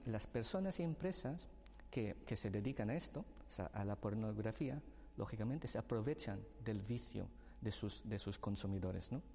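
A man from the Philippines, not a native speaker, explaining his view on a discussion panel in a measured way and citing studies. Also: fairly low pitch, mellow, in a large hall. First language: Filipino language. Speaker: panel guest.

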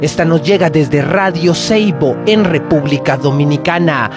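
A man's voice: on the high side, over music. A male radio presenter introducing a report and handing over to the reporter. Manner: brisk announcer handoff. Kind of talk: program introduction.

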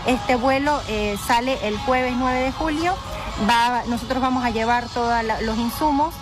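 A woman speaking as a campaign spokesperson, briskly listing needed supplies and plans with frequent hesitation fillers. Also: a little high. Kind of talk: on-site radio interview. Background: background music.

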